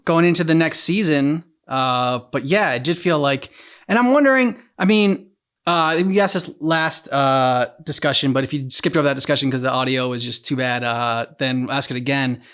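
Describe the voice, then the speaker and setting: A man hosting a reaction show, talking casually and haltingly as he thinks aloud. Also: on the high side, animated, a bit bright, clear, resonant tone. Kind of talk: casual discussion.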